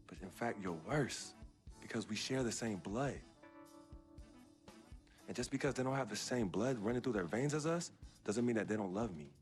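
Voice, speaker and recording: A man's voice: on the low side, lightly acted. An actor playing a loyal young basketball star in a video game drama, speaking earnestly and firmly to his sister. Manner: earnest, firm, pleading. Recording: music under the dialogue.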